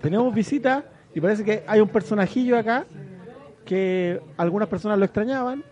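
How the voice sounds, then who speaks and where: A man's voice: sits high, a little fast, a little mellow. A man with a Chilean accent hosting a podcast in a casual, chatty way. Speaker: podcast host. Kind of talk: casual chat.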